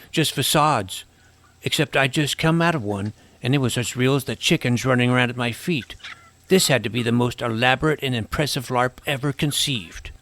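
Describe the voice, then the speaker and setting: An earnest, awkward boy adventurer, played by a man, recounts his tale in a medium-pitched voice. The storytelling is wordy and vivid, with clear music underneath, in the manner of a fantasy audio drama. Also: a bit bright, close to an everyday voice. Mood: amazed, recounting. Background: prominent music.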